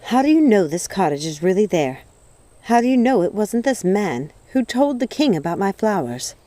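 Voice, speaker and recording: A woman's voice: medium pitch, sing-song, normal speed, dark tone, dry recording, close to an everyday voice. A woman voicing a desperate, grieving young witch in a storybook, pleading with emotion.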